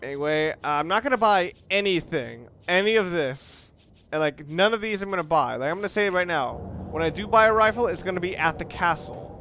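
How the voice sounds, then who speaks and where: A man's voice: high voice, animated, brisk, a little hiss or hum. A man giving casual, rambling let's play game commentary, often correcting himself as he talks. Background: loud video game music and sound.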